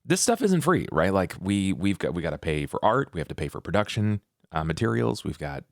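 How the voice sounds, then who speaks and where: A man's voice: deep voice, sing-song, a little fast, vocal fry, no echo, clean studio sound. A man chatting casually as a player and co-host on a tabletop roleplaying podcast. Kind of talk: casual chat.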